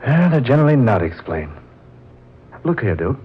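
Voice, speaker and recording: An actor playing a genial, level-headed friend with a folksy manner, in 1940s radio drama style. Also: male voice, mid-range voice, sing-song, moderate pace, close to an everyday voice. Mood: reassuring, practical. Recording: muffled, noisy old radio recording.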